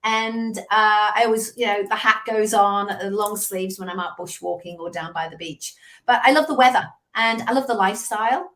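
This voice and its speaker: A woman's voice: mid-range voice, normal speed. Chatty Liverpool-accented woman in her fifties. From England. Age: 50s. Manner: chatty storyteller, lively asides. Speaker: sales coach guest. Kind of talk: casual interview chat. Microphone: video call.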